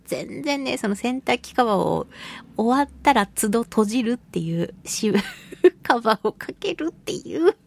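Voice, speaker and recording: A woman hosting a radio talk show on her own, chatting casually and telling stories in an animated, giggly, colloquial way. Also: a little high, moderate pace, gravelly. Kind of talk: casual solo radio chat.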